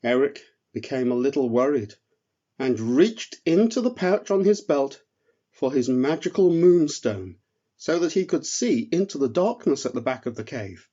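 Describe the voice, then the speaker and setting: A man reading a children's story as narrator, in a measured, expressive way. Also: mid-range voice, highs cut off. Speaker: storyteller, author reading own work.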